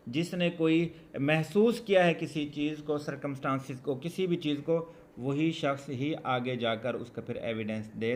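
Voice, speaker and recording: Slow-speaking Pakistani man lecturing, higher-pitched voice. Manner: deliberate, explanatory, repeats key phrases. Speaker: law lecturer. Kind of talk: exam lesson, reading English law text then explaining in Urdu.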